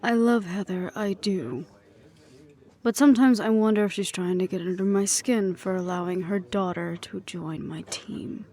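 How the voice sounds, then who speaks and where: Woman acting a soft, mellow-voiced wry ex-hunter. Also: mid-range voice, fairly even intonation, normal speed, lightly acted. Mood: weary, earnest. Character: wry ex-hunter woman, affectionate, weary of family strife. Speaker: voice actor in audio roleplay. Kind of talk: intimate one-sided audio roleplay acting.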